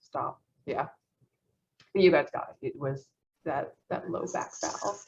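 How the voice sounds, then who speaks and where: A woman's voice: sits low, measured pace, vocal fry, rough recording. A woman student presenting a phonetics lesson over a video call, hesitant, often restarting and laughing at herself. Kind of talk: class presentation.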